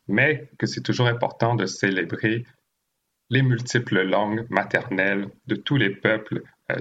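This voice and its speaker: A man from Haiti hosting a conversational interview, speaking in a halting way with frequent pauses. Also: on the low side, bright, forceful tone, muffled recording. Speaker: podcast interviewer and host. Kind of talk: conversational interview with long spoken introductions.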